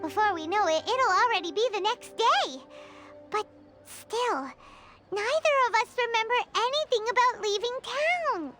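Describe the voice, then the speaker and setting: A tiny video game fairy sidekick with an extremely high, squeaky voice. The delivery is chirpy and excitable, swinging widely in pitch, with loud music behind it. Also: female voice, measured pace. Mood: determined. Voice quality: squeaky, childlike. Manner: chirpy, speaks of self in third person. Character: tiny excitable childlike floating fairy companion, female.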